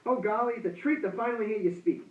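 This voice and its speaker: A man's voice: sits high, fast talker, narrow, tinny audio, natural voice. A young man playing a gushing, folksy host in an amateur 1920s radio broadcast. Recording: roomy, distant-miked amateur recording, thin sound.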